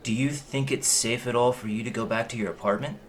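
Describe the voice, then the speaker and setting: A man's voice: medium pitch, steady tone, a little fast, natural voice. An actor playing a protective, concerned man in an audio drama, earnest and reassuring. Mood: worried, reassuring. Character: concerned, steady male confidant, protective problem-solver.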